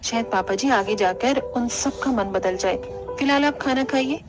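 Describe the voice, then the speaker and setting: A woman plays a meek young village bride in a cartoon family drama. She is soft, polite and pleading, dropping below the performer's usual register, with music behind her. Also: on the high side, normal speed, pitched below the performer's own voice, lightly acted, rough recording. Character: gentle, dutiful young village daughter-in-law, meek and respectful.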